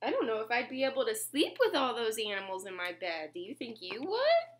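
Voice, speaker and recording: A woman in her twenties reading a picture book aloud at home, expressively and clearly phrased for young children. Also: fairly high pitch, wildly swooping pitch, fairly quick. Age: 20s. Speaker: children's story reader. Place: small room at home, indoors.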